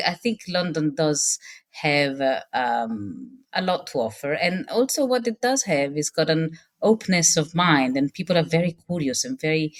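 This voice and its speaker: A middle-aged woman from Rome, speaking as an interview guest and pausing often with fillers and restarts. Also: a bass voice. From Rome, Italy. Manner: hesitant, with many fillers and restarts. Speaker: guest, cookbook author. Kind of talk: casual interview talk.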